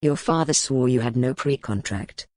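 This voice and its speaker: Woman voicing a low, curt, weary medieval duke. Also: expressive, moderate pace, a little mellow, dry recording, clean studio sound. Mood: guarded accusing. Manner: terse, formal, guarded. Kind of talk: audiobook character dialogue.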